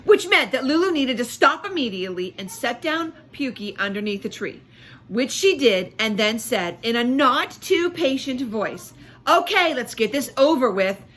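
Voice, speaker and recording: A woman teacher reading a storybook aloud to children with expressive emphasis, pausing to explain and ask questions. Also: fairly high pitch.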